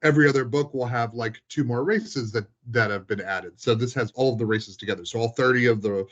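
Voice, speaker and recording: A man's voice: mid-range voice, a little fast, fairly bright tone. Rambling casual guy on muffled audio. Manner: rambling, informal, heavy use of "like". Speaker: hobbyist tabletop game master. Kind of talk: casual chat.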